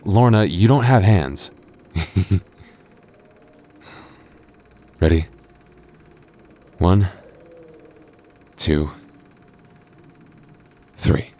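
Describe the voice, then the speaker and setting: A man playing a soft-spoken goth boyfriend. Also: deep voice, animated, extremely slow, pauses often, full character voice. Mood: tender, soft. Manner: soft-spoken, stammering when flustered. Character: gentle, nervous young goth boyfriend. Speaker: ASMR voice actor playing a boyfriend. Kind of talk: boyfriend ASMR roleplay.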